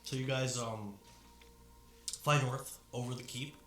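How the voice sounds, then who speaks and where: A man narrating a tabletop game as dungeon master, stopping to think with fillers as he describes the scene. His voice sits at a middle pitch and rings around an echoey room. Music plays clearly behind him. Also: steady tone, measured pace, a bit bright.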